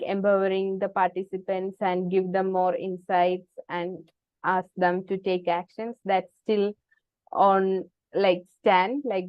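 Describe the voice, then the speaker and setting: A woman leads an online group session, pausing and restarting often. Her voice is smooth and clear, her delivery slow and flat. The call audio is dull and dry. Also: on the low side, dry recording. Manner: hesitant, many restarts and "like" fillers. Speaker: session facilitator.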